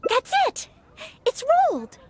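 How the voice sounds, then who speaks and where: An actress playing an earnest, studious young maid knight in a video game, thinking aloud in polite, formal phrases. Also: female voice, slow pace, slight background noise, close to an everyday voice. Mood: bright, sure. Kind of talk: English video game voice acting. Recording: faint music behind the voice.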